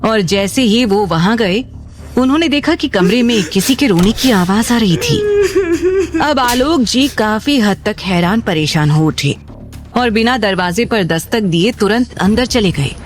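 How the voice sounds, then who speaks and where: Female narrator for a dramatic serial story, medium pitch, light music bed. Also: animated, measured pace. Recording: music under the voice.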